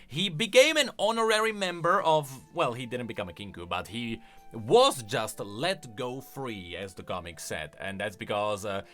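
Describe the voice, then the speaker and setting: A man's voice: mid-range voice, sing-song, moderate pace, fairly bright tone, soft background music. A man hosting a video, giving off-the-cuff, emphatic commentary that explains game lore.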